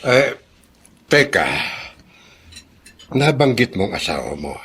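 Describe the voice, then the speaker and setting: A man's voice: mid-range voice, sing-song, slow, a bit bright, a little hiss or hum, heavily acted. An actor playing a remorseful, humble elderly father in a Filipino radio drama, with a Filipino accent. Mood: wistful, gentle.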